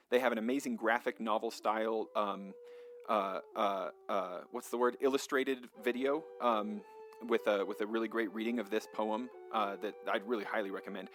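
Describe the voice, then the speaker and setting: A man's voice: fairly low pitch, fairly bright tone. A man hosting a podcast, chatting casually with lots of ums and self-deprecating asides. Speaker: podcast host. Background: faint bagpipe and whistle music.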